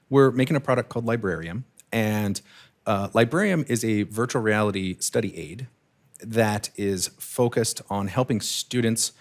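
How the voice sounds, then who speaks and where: A man in his thirties, a startup founder, talking casually with many fillers and restarts in an interview. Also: fairly low pitch.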